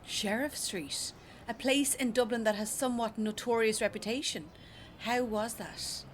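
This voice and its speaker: A woman acting a radio news presenter in a radio play, formal, measured and politely inquisitive. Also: on the high side, slight background noise, natural voice. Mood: polite, probing. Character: polished, probing female radio news presenter.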